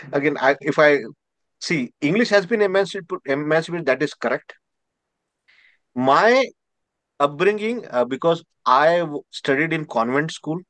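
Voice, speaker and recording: A man with an Indian accent, a native Marathi speaker, answering interview questions haltingly with many restarts. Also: medium pitch, fairly bright tone, no room sound. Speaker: guest scholar.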